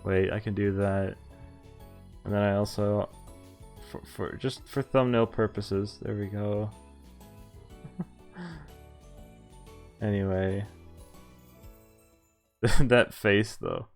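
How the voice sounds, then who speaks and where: A man's voice: fairly low pitch, fairly even intonation, takes it slowly, pauses often, darkish tone. Casual male game streamer over music. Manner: casual, reacts with laughs and interjections. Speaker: streamer playing a visual novel. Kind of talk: let's-play commentary, reading game text aloud.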